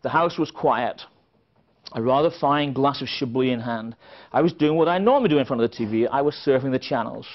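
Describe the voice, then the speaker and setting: A man's voice: mid-range voice, fairly quick. A middle-aged man from England, giving a keynote speech at a conference and telling stories with dry, wry asides. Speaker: conference speaker. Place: conference hall with a seated audience. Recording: dull, lo-fi sound.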